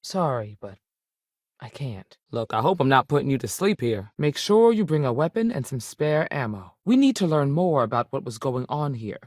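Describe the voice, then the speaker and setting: A stern, dutiful woman ranger from a space video game. Her pitch moves around freely as she gives firm, plain-spoken lines with frontier folksiness. The recording is close and dry. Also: sing-song, normal speed, a little mellow, no room sound, exaggerated voice. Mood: subdued. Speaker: video game character voice actor. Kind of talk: game dialogue acting.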